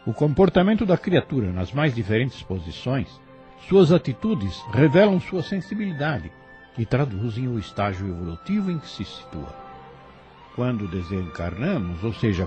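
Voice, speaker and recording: A man's voice: medium pitch, dark tone, lo-fi sound. A man narrating a solemn, reflective spiritual commentary.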